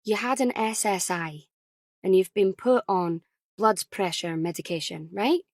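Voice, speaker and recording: A woman voicing a brisk female nurse in an audiobook, crisp and pleasantly firm. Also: mid-range voice, darkish tone, no echo. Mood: softened, soothing.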